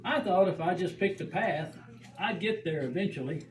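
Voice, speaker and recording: A performer voicing an excitable, adventurous puppet in a children's church show, telling things with dramatic exaggeration. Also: medium pitch, normal speed, close to an everyday voice. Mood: defensive. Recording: roomy, distant mic, faint background noise.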